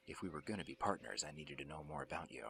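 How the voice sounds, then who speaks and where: A man playing a gruff, hardened covert soldier in a radio drama, terse, controlled and pragmatic, with a very deep, gravelly voice. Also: huge pitch swings, fast talker, a bit bright, slight background noise, full character voice. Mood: grim, matter-of-fact. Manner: terse, hard-edged, controlled.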